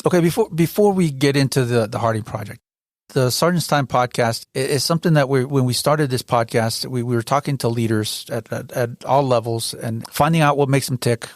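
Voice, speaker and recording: A man with a mid-range voice hosts an interview podcast, reading a scripted opening and then questioning his guests. His delivery is measured, with a few restarts when he speaks off script. The sound is dry and close. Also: mid-range voice, no room sound. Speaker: podcast host, managing editor.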